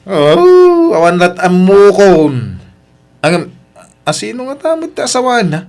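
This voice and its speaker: A man's voice: high voice, huge pitch swings, a little slow, darkish tone, exaggerated voice. A man with an Ilocano accent acting a brash, scoffing, gossipy husband in a family radio drama, exclaiming mockingly. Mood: scoffing, surprised.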